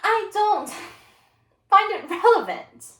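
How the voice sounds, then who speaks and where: Casting: woman as sassy unruly schoolgirl for solo stage drama, very lively high voice, defiant and flippant, echoey room. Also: slow pace, full character voice. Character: brash, slangy, unruly young female student. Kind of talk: solo dramatic interpretation performance.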